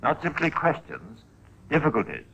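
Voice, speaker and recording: A man narrating a radio crime drama as host, in a measured, theatrical 1950s style with pointed emphasis. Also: a little high, wildly swooping pitch, vocal fry, pushed and bright, lo-fi sound, slight background noise.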